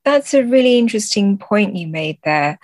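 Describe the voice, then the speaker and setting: A woman's voice: mid-range voice, animated, normal speed, a little mellow, no echo. A woman speaking as a podcast guest and translator, explaining literature thoughtfully and often searching for her words.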